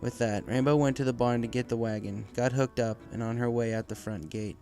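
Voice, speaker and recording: A man's voice: mid-range voice, steady tone, brisk, mellow. A man reading scripted story narration as the narrator, close to the microphone. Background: music.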